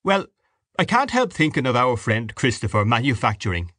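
A man voicing a wry older Irish friend of the family, amused and low-key. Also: big pitch swings, normal speed, fairly bright tone, dry recording, clean studio sound.

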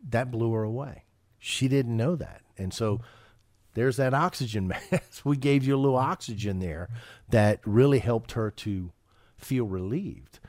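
A man's voice: medium pitch, a little slow, darkish tone, no room sound, clean studio sound. A man hosting a financial radio show, talking casually and often restarting his sentences with repeated words.

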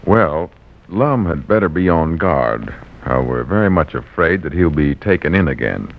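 A man working as a radio announcer, delivering a measured, friendly sponsor message in 1940s style. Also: very deep voice, sing-song. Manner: friendly direct address, measured. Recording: muffled vintage radio recording.

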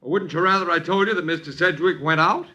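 A man's voice: high voice, a little fast, pushed and bright. A man playing a smug, sarcastic lodger with affected, flowery phrasing in a 1950s radio drama. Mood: mocking. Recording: old radio recording.

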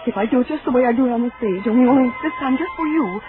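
An actress playing a wary, indignant young stage dancer in a 1940s radio thriller, with a brassy, stammering, defensive delivery. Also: female voice, a little high, animated, rapid-fire, music in the background, natural voice. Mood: frightened, bargaining. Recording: noisy, narrow-band 1940s radio recording.

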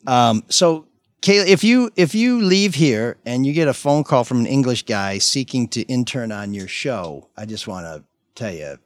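Man co-host, teasing, lively up-and-down voice, dry close sound. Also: mid-range voice, moderate pace, no echo. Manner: teasing, playful. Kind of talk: joking casual chat.

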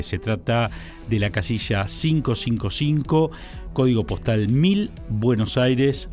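An Argentine man presents a radio program at a studio microphone. His pitch sits in the middle range, and his delivery is formal and measured, like a seasoned announcer. Faint music plays quietly underneath. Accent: Argentine Spanish. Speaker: radio host. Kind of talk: radio talk show presenting.

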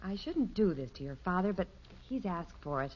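A woman playing a patient, long-suffering middle-aged wife and mother in a 1950s radio sitcom, gently placating and wry. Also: fairly low pitch, very animated, normal speed, a little hiss or hum. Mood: wry, gentle. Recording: dull 1950s radio broadcast recording.

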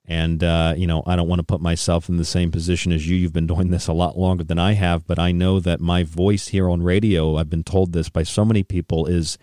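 A man's voice: deep voice, little pitch movement, brisk, few pauses, dark tone, no room sound, clean studio sound. A thirty-year-old man hosting a radio interview, speaking earnestly in long, wordy questions and rephrasing himself as he goes. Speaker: radio show host.

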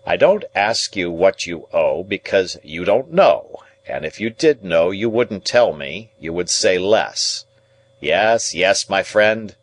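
A man performs a shrewd, domineering middle-aged lawyer from a storybook. His voice is low and he speaks deliberately, leaning on his words like a cross-examiner. He is pedantic and commanding. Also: animated, full character voice, rough recording. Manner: emphatic, interrogating, deliberate, pedantic. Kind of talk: audiobook character dialogue.

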